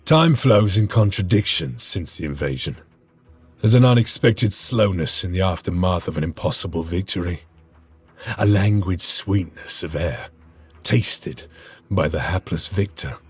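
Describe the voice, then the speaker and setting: An actor playing a grim veteran space marine sergeant, brooding and stern, with a husky voice. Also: male voice, deep voice, measured pace, exaggerated voice. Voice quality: gravelly, husky. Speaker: sergeant narrator. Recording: game cutscene with music.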